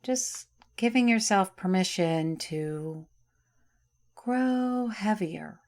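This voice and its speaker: Slow, soothing woman guiding deep relaxation. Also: expressive. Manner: soft, slow, soothing guiding cadence with long pauses. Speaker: yoga nidra teacher. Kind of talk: guided relaxation meditation.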